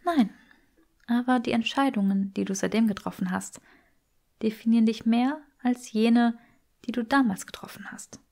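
A woman voicing a gentle, forgiving, weary young woman healer in a fiction audiobook, soft, breathy and earnest. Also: a little high, normal speed, pitched above the performer's own voice.

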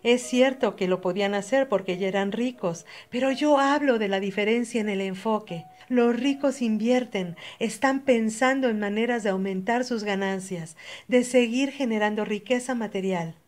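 Earnest Mexican woman, slightly high voice. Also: moderate pace, few pauses, darkish tone. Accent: Mexican Spanish. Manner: direct, earnest, addresses the listener as you. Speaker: channel host. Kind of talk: motivational voice-over talk.

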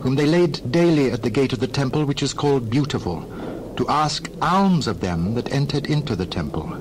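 A man with a mid-range voice narrates scripture for a dramatized reading. His delivery is formal, measured storytelling. Clear noise runs behind his voice. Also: mid-range voice, expressive.

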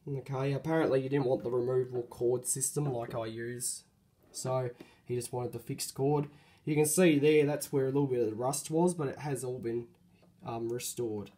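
A hoarse man gives a casual show-and-tell about a light fitting he fixed up. His voice is croaky from a cold, and he talks haltingly, full of ums and self-corrections. He sits at a mid pitch and records on a phone in a room at home. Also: steady tone, dark tone. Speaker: hobbyist showing a refurbished light.

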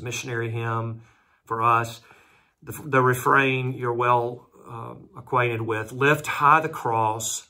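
Older pastor with a bright voice. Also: male voice, mid-range voice. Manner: earnest, slows down to read scripture aloud. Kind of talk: devotional Bible talk. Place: room at home with patterned wallpaper. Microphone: front camera at close range.

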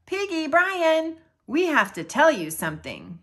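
A woman's voice: high voice, very animated, measured pace, a bit bright, voice pushed up, heavily acted. A woman voicing an anxious, dramatic male elephant in a picture-book story, given to exclaiming. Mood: worried.

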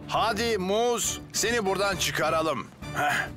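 A man playing a calm, reassuring heroic firefighter in a children's cartoon. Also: high-pitched, a little slow, music in the background. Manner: reassuring, encouraging.

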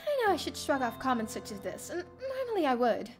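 A young woman streamer reading a visual novel aloud as expressive first-person story narration. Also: high-pitched, fast talker. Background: game music.

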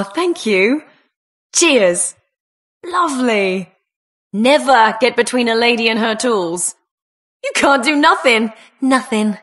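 A plucky British woman builder from a video game, with a high, bright voice. She is cheeky and punchy, swinging widely in pitch and delivering short lines at a very unhurried pace. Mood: friendly, cheeky.

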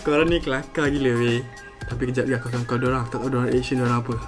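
Animated young Malaysian man over music. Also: mid-range voice, racing. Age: 20s. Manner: animated, jokey, slang mixed with English. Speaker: prank video host. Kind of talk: casual chat to camera and on calls. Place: small bedroom, indoors.

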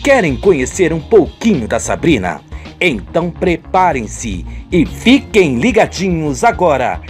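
Animated Brazilian radio soap narrator. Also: mid-range voice, unhurried, soft background music. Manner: theatrical, addresses listeners familiarly.

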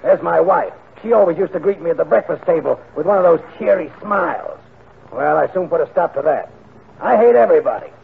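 Gravel-voiced radio grouch. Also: male voice, fairly high pitch, lively intonation, fairly quick, heavily acted. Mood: grumpy, sour. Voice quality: gravelly, husky. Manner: curt, snarling grumble. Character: surly grouchy man who hates everybody. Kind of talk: 1940s radio comedy acting. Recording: old 1940 radio broadcast, phone-like.

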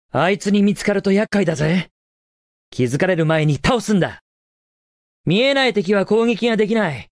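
A man's voice: sits high, no echo, clean studio sound. An actor playing a cocky, confident young male hedgehog hero in a Japanese video game, with a cool, casual delivery.

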